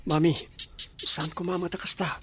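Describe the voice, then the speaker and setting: An actor playing an earnest, insistent young adult son in a radio drama, speaking with an Ilocano accent. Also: male voice, on the high side, level tone, normal speed, pushed and bright, breathy edge, exaggerated voice.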